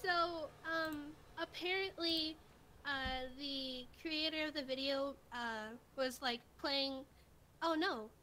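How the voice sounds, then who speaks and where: A woman's voice: sits high, slow pace, background noise. A woman chatting casually and playfully as a livestream quiz contestant, informal and giggly. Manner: giggly, informal slangy talk.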